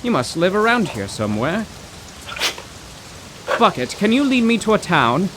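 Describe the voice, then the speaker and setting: An actor playing an excitable, bookish professor in a 1930s radio drama, theatrical and wordy with old-fashioned exclamations. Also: male voice, high-pitched, moderate pace. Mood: curious, friendly. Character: excitable, bookish 1930s male poetry professor, stranded motorist. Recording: rain and road noise.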